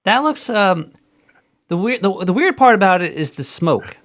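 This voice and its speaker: A man's voice: high voice, big pitch swings, moderate pace, a bit bright. A man hosting a casual call-in show, joking and distracted as he searches for things online. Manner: joking, distracted, trash-talking. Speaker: radio show host.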